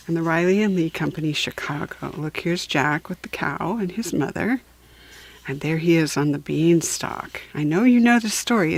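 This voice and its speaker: Slow-paced woman reading a storybook aloud. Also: low-pitched, dry recording. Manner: patient, deliberate, chatty asides to listeners. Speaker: story reader. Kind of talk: slow read-aloud storybook narration for beginners.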